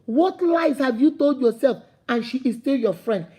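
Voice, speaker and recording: Nigerian lady preaching motivation, high voice kinda husky and soft, keeps repeating herself. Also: animated, normal speed. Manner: preachy, repetitive, rising to emphatic calls. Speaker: motivational speaker. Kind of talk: impassioned motivational talk to camera.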